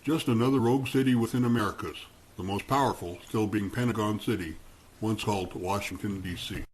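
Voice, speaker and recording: Low-voiced man narrating a radio play. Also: brisk, a little hiss or hum.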